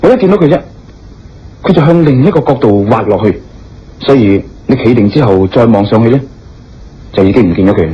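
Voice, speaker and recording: An actor playing a concerned, tactful gentleman who speaks with careful hesitation in a radio drama. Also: medium pitch, expressive, fairly quick, long pauses, breathy edge. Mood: probing, reassuring. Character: concerned, tactful gentleman probing and reassuring a stranger. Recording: dull lo-fi radio drama, music behind.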